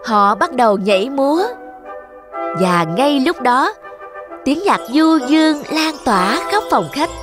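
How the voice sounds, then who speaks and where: A woman's voice: sits high, lively intonation, measured pace. A woman narrator reading a scripted children's fairy tale as a storyteller. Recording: voice-over with music behind. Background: clear background music.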